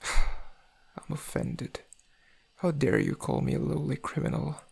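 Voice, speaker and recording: Smug, seductive male criminal played by a man for ASMR roleplay; husky, slightly higher-set voice, intimate drawl with menace underneath. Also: wildly swooping pitch, slow, a little mellow, exaggerated voice. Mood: coaxing. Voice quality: gravelly, husky. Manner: intimate, teasing, drawling, often dropping to breathy murmurs. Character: suave, menacing male thief, flirtatious and smug.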